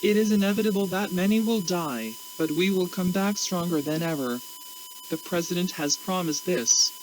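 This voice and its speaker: A man playing a grave government spokesman, reading a formal, solemn scripted emergency address with measured phrasing over faint hum and hiss. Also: high-pitched, close to an everyday voice, rough recording.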